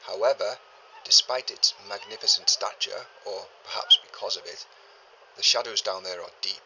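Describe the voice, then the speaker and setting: A deep, forceful, grave 1930s archaeologist. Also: male voice, lo-fi sound, slight background noise. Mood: grave unease. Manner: formal, deliberate, grave, dramatic pauses. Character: 1930s archaeologist doctor, learned, measured, increasingly uneasy man. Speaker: expedition leader keeping a recorded log. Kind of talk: scripted audio drama monologue, spoken journal.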